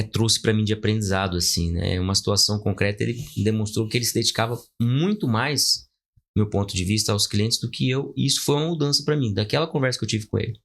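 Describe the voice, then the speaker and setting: A man's voice: fairly low pitch, a bit bright. A Brazilian man in his forties hosting a podcast, talking and asking questions in a conversational way. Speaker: podcast host, lawyer. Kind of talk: conversational interviewing.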